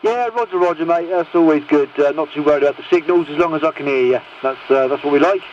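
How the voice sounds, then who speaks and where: A man's voice: fairly high pitch, fast. A man chatting casually as he runs a check-in over CB radio. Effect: CB radio transmission. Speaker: CB radio operator running a check-in list. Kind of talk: casual radio net chat. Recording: noisy, muffled CB radio signal.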